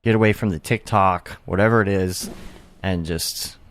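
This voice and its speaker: A man's voice: sits low, steady tone, moderate pace, a little hiss or hum. A man hosting a podcast in casual chat, rambling and restarting his thoughts as he goes. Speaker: podcast host.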